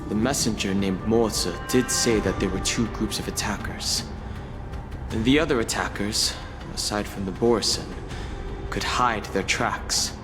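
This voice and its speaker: A man's voice: fairly low pitch, measured pace, over music, close to an everyday voice. A male actor playing a grave, dutiful warrior officer in a video game, explaining things formally and seriously. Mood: grave, explanatory.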